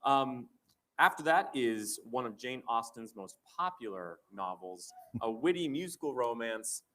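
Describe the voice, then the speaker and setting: A man's voice: medium pitch, expressive, measured pace, a bit bright. A man co-presenting at a live theater event in a casual announcing style, recorded at a distance in a noisy hall.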